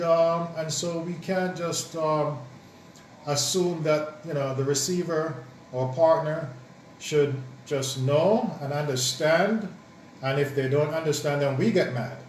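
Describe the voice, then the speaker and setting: Man, co-host, reflective and halting, lots of ums; recorded in a roomy space, set back from the mic with faint noise. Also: a little high, far from the mic. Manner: thoughtful, halting with many ums and restarts. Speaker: co-host, married partner. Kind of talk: casual chat on marriage advice.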